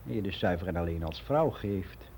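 Mellow-voiced, candid older man. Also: deep voice, animated, slight background noise. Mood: candid, confiding. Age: 60s. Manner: frank, reflective, halting with self-corrections. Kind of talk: confessional monologue in a radio play.

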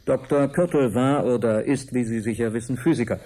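Male actor as evasive research director, radio drama. Rough-edged mid-pitched voice, curt and formal. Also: normal speed, warm tone, lightly acted. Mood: guarded. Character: guarded, evasive male professor and institute director.

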